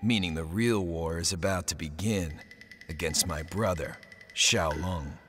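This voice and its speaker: A man's voice: sits low, measured pace, a bit bright, faint music behind. A man playing a hot-headed, sardonic commander and former pirate in a video game, with a gritty, terse delivery. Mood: brooding. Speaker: game protagonist and narrator. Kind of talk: dramatic game cutscene acting with brooding narration.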